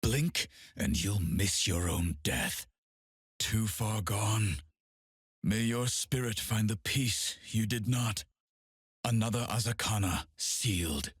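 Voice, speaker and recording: An actor playing a brooding masked undead swordsman and demon hunter in a video game, solemn and deliberate, speaking through gritted teeth. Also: lowish voice, husky, no room sound, studio quality. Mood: grim, weary. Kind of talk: video game character voice lines.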